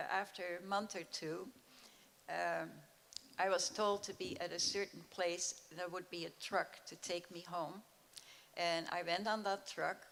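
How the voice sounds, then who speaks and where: Gravelly older woman recounting memories. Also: on the low side, fairly bright tone. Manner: plain recounting, with hesitant fillers. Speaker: Holocaust survivor speaker. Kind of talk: personal storytelling at a school event. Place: school event hall. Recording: echoey school hall.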